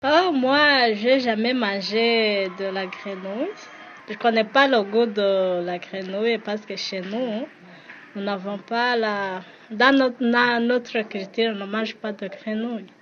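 A woman answering in an animated, candid way. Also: medium pitch, slow pace, dull audio, a little hiss or hum. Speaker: listener.

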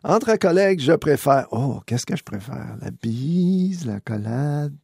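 A man's voice: medium pitch, expressive, slow, creaky, darkish tone, slightly rough, no room sound, clean studio sound. A man with a Québécois accent from Abitibi, giving a hesitant, thinking-aloud answer as a listener. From Abitibi, Quebec. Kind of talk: casual on-air answer.

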